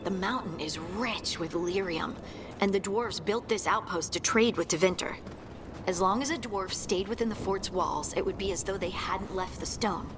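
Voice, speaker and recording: A woman's voice: mid-range voice, normal speed, noisy background, close to an everyday voice. An actress playing an earnest, searching young dwarf woman in a video game, speaking in a wistful, conversational way. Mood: explaining. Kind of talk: video game dialogue acting. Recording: game music behind the voice. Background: game soundtrack music.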